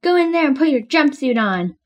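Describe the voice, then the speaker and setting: A woman's voice: high-pitched, very animated, a little fast, muffled recording. A woman playing a polite, matter-of-fact police officer in an improvised game roleplay. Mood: stern.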